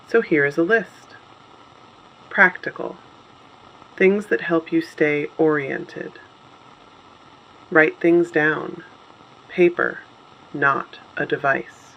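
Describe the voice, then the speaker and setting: A muffled, noisy recording carries an actor as a steadfast underground resistance broadcaster. The delivery is slow and solemn, laid out in short, deliberate phrases like a dystopian radio drama. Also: mid-range voice.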